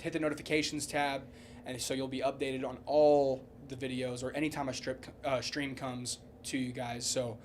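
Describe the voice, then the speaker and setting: A man's voice: medium pitch, level tone, normal speed. A man co-hosting in casual chat, slangy and joking, with some swearing. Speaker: podcast co-host. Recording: clearly audible background noise.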